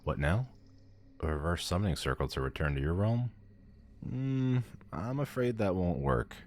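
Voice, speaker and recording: Man voicing a very deep, haughty demon lord. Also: animated, moderate pace, darkish tone. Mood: lofty, instructive. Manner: pompous, condescending, theatrical. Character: haughty, powerful male demon lord, bored and amused. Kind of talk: ASMR audio roleplay acting.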